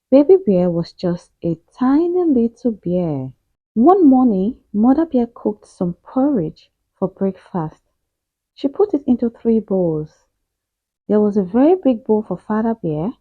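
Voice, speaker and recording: A woman reads a children's story aloud as a storyteller, with deliberate, emphatic delivery and drawn-out key phrases. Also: mid-range voice, dry recording, narrow, tinny audio. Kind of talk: expressive children's storybook narration.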